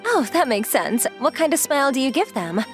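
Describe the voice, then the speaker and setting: Woman acting a breezy young model, high lively voice. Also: brisk. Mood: easygoing, explanatory. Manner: forward, playful, casually inquisitive. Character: confident, teasing, breezy young female model and student. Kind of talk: anime-style dub acting. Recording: music behind the voice.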